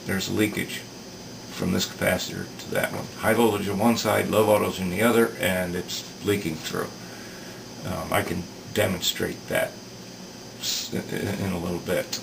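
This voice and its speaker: Slow, creaky-voiced man explaining repairs. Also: fairly low pitch. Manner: halting, thoughtful, thinking aloud. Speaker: repair video maker demonstrating electronics work. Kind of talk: casual unscripted technical explanation. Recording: distant mic with clear background noise.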